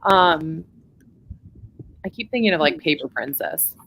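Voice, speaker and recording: A woman's voice: low voice, expressive, unhurried, creaky, a bit bright, a little hiss or hum, poor audio. A woman co-hosting a casual book chat, thinking aloud and correcting herself. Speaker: co-host of a book club live show.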